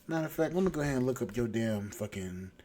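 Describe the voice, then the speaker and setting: A man's voice: mid-range voice, animated, fast talker, a little hiss or hum. A man hosting a podcast, reacting to a dating show clip with crude, mocking commentary. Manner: mocking, crude, loud laughing outbursts.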